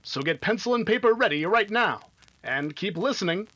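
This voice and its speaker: A man's voice: high-pitched, animated, moderate pace, pushed and bright, lo-fi sound. A man narrating and announcing in the scripted style of an old-time radio adventure serial.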